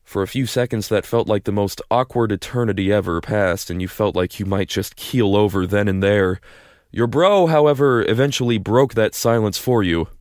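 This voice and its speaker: A man's voice: on the low side, moderate pace, darkish tone, dry recording, clean studio sound. A man narrating a fan audio drama in the second person, casual and wry.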